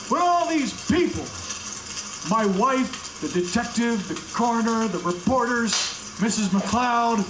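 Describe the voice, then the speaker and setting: An actor playing a bitter murdered man narrating as a corpse in a radio drama, wry, brooding and deliberate. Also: very high voice, exaggerated voice. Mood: bitter, rising. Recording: echoey, music underneath.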